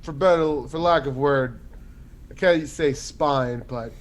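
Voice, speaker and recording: A man's voice: medium pitch, slow pace, close to an everyday voice. A man voicing a blunt, boastful warrior character who teases his companions. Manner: blunt, teasing.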